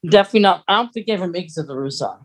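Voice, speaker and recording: A woman's voice: fairly low pitch, expressive, racing. A woman co-hosting a podcast in casual, playful chat, chiming in with corrections. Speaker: podcast co-host.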